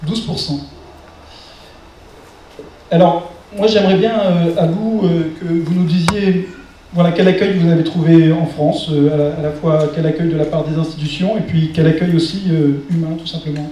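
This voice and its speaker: Higher-voiced male moderator in echoey room. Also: steady tone, normal speed, mellow, slight background noise. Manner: hesitant, searching for words. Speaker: press conference moderator. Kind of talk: moderating, introducing and questioning a speaker. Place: indoor press conference room. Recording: very echoey room, distant mic.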